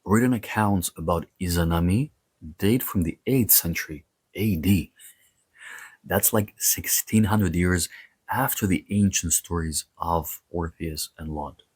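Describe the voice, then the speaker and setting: A man with a low, husky voice hosts an educational lecture. He reads scripted material in short, separated phrases and slips into casual asides as he explains. The sound is dry, with very little room echo. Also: a little slow, darkish tone. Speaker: host of a history and mythology series.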